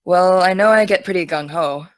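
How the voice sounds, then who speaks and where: A woman's voice: lowish voice, normal speed, dry recording, natural voice. An actress playing a gung-ho teenage girl podcaster, teasing and friendly, in a naturalistic audio drama. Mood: keen, friendly. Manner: teasing, enthusiastic.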